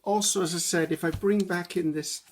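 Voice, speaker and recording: A woman's voice: on the low side, fairly even intonation, moderate pace, a little mellow. A woman hosting a casual craft video at her table at home, rambling and correcting herself with frequent ums. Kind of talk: casual chatty craft walkthrough.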